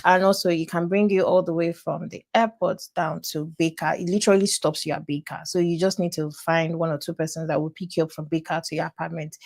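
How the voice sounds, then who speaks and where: A woman's voice: lowish voice, creaky. A young woman with a Nigerian accent, a student guest on a podcast, speaking candidly with emphatic repeats. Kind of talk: casual podcast interview.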